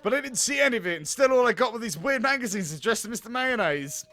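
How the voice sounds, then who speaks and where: Awkward, polite male coworker for a dating sim, earnest and hesitant, high, animated voice, a man acting. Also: fast, heavily acted. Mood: worried, earnest.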